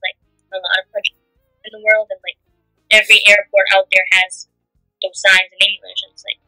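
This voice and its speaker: A woman's voice: mid-range voice, pushed and bright, no room sound, rough recording. A young woman from Albania whose first language is Albanian, answering interview questions casually, filling almost every phrase with "like". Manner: says "like" constantly, halting.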